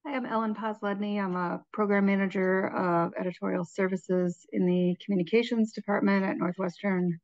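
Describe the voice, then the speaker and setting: Woman program manager, lowish voice, composed, dry muffled call sound. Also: a little mellow, no room sound. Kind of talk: self-introduction on a video call. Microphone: video call.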